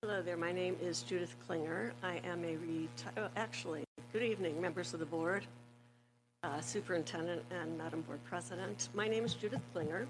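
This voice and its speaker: A woman's voice: lowish voice, a little fast, noisy background. A retired teacher, an older woman, giving public comment and reading quotations with emphatic stress. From Oakland, California. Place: public meeting hall.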